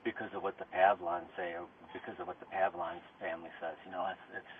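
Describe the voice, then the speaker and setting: A man with a fairly low voice comes through thin, phone-like audio with some background noise. He is a suspect protesting to police, halting and insistent, circling back over the same phrases. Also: little pitch movement. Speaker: arrested murder suspect. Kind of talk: informal back-and-forth with police during arrest. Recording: noisy, tinny police audio recording.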